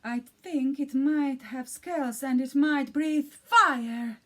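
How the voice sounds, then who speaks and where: A woman's voice: high voice, slow pace, fairly bright tone, pitched above the performer's own voice. A woman voicing a wealthy elephant who lives in a mansion and gives orders to his butler, in a storybook reading. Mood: hushed alarm. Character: rich elephant master of a mansion, easily scared.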